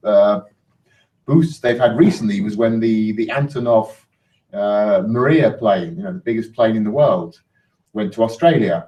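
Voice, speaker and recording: A man's voice: lowish voice, a little mellow. A man moderating an informal panel discussion, enthusiastic and repeating phrases, picked up by a distant room microphone.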